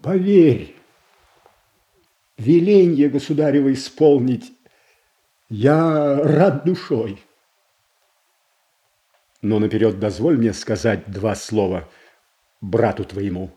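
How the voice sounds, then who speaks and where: An actor playing a proud, stern old Russian prince in a verse tragedy for audio, declaiming solemnly and with measure. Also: male voice, medium pitch, slow pace, pauses often, mellow, lightly acted. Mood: challenging, wary.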